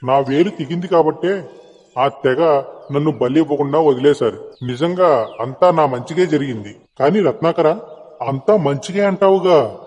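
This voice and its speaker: A man playing a proud, hot-tempered king with a formal, commanding manner in an animated moral story. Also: fairly high pitch, normal speed, muffled recording. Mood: remorseful. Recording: long hall-like reverb, music behind.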